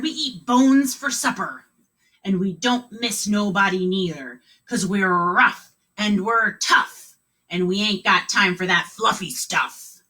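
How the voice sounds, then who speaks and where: A woman voicing a boastful, gruff male pirate bus driver who talks in pirate slang and growls "arg". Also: medium pitch, pushed and bright, distant mic.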